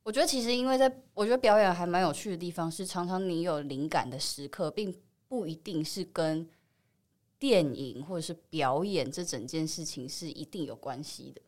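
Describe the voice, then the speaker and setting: A woman's voice: on the low side, brisk, a little mellow. A woman with a Taiwanese Mandarin accent, an actress answering interview questions in a reflective, conversational way, explaining things at length. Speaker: guest actress.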